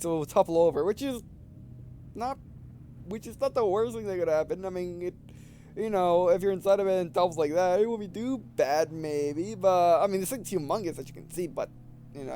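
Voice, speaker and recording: A man in his twenties giving casual, halting let's play commentary, often repeating phrases as he goes. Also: high-pitched, a little mellow, a little hiss or hum. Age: 20s. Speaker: gaming video host. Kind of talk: casual let's play commentary, reading game text aloud.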